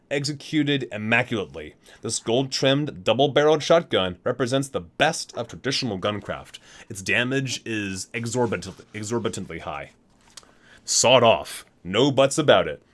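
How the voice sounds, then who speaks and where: A man's voice: medium pitch, fairly bright tone. A man reading game item descriptions in an ASMR video, dropping into casual muttered asides as he goes. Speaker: gaming content creator and host.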